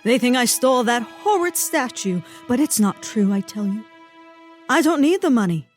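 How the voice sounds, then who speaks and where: Woman acting a theatrical, high-voiced wealthy widow. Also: lively intonation, moderate pace, soft background music, lightly acted. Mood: defensive, wistful. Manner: theatrical, swooning, dramatic. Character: wealthy melodramatic widow, sultry and self-pitying. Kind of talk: parody of old-time radio detective drama.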